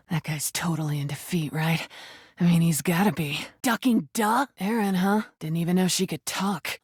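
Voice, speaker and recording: A woman playing a cocky, crude punk rubber duck in a video game, with slangy, teasing, sardonic delivery and a husky voice. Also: lowish voice, darkish tone, no room sound, studio quality. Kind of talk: video game character acting.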